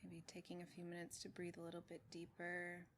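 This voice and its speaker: A woman leads a guided meditation in a slightly low voice that stays very even in pitch. She speaks gently in short, measured phrases and leaves long pauses between them. There is faint noise and ambience behind her. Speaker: meditation teacher. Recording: clearly noisy with room ambience.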